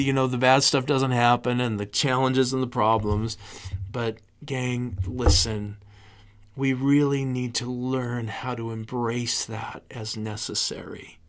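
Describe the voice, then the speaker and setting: Slow, earnest older pastor. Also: male voice, medium pitch. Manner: earnest, reads scripture aloud with rising emphasis, drops to a hushed tone for prayers. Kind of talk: sermon teaching through a psalm. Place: small studio with desk and speakers, indoors. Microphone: large desktop studio microphone.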